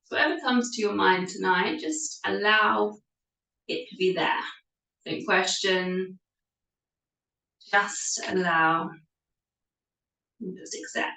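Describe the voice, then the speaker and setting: Slow woman guiding yoga, distant and echoey. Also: mid-range voice, creaky. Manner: gentle, soothing, unhurried guidance with pauses. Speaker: yoga teacher. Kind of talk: guided meditation and yoga class instruction. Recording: echoey room, distant microphone.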